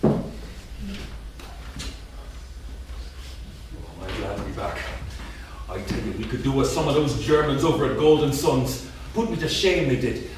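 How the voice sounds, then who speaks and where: A man's voice: mid-range voice, a little fast, natural voice. An actor in a staged play reading, playing a domineering, volatile husband and businessman with an emphatic delivery. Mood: proud, jovial. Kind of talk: staged reading, theatrical dialogue. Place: indoor theatre at a staged reading. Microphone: distant room microphone. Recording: distant, very echoey theatre room, faint noise.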